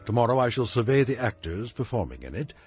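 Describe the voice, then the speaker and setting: An actor playing a proud, dignified Elizabethan playwright in a radio drama, speaking in formal, archaic, theatrical phrases. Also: male voice, mid-range voice, very animated, quick pace, a little mellow, soft background music, lightly acted. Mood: lofty. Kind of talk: comedic radio drama acting.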